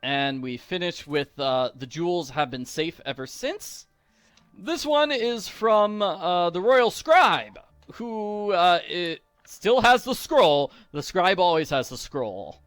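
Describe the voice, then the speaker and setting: A man's voice: high-pitched, lively intonation, slow pace, a bit bright, clean tone, no room sound, soft background music. A man hosting a video game walkthrough with casual, chatty commentary, recorded close on a mic. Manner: chatty, acts out quoted lines.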